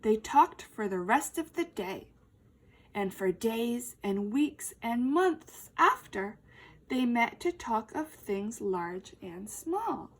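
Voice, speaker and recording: A woman's voice: on the high side, slow, husky. A woman teacher reading a storybook expressively to young children, speaking to them directly and putting questions to them. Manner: animated, emphatic, addressing young listeners directly.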